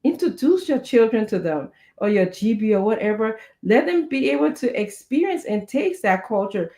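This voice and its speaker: A woman's voice: a little high, sing-song, normal speed, dark tone. A middle-aged woman with a Liberian accent, giving earnest, conversational advice in an emphatic way with short, repeated exhortations. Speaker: guest speaker giving parenting advice.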